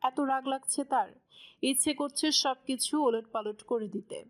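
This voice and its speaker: A woman's voice: high voice, unhurried. A woman reading scripted narration as the storyteller of a dramatic romance story.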